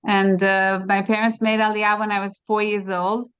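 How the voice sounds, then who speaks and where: A middle-aged woman with an American accent, a guest in an interview, speaking haltingly with many fillers and restarts. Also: medium pitch, level tone, clean tone. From United States. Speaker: interview guest, bereaved mother. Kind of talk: casual conversation. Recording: dull lo-fi sound.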